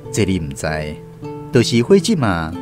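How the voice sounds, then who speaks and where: A man's voice: low voice, wildly swooping pitch, normal speed, music in the background. A man with a Taiwanese accent hosting and narrating a scripted radio drama.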